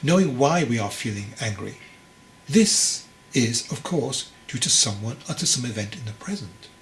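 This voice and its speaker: Guy doing a wise old monk master for a storybook, patient n teasing, measured, bit of noise behind. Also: mid-range voice, animated, natural voice. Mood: calm, instructive. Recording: clearly noisy recording.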